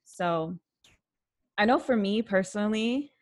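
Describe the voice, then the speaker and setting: A woman's voice: medium pitch, animated, unhurried. A woman hosting a casual interview, friendly and chatty, with frequent fillers. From Puerto Rican family background. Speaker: interview host.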